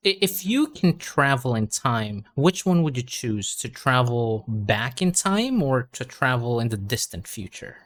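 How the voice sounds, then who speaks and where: A man tutoring English in a casual conversation lesson, relaxed and encouraging. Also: mid-range voice, unhurried, hardly any pauses. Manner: relaxed and encouraging, many "you know"s.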